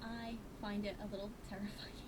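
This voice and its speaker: A woman's voice: mid-range voice, level tone, brisk, noisy background. A woman giving casual, opinionated book commentary in a conversational, exasperated way, now and then reading aloud. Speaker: book reviewer. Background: loud video game music and sounds.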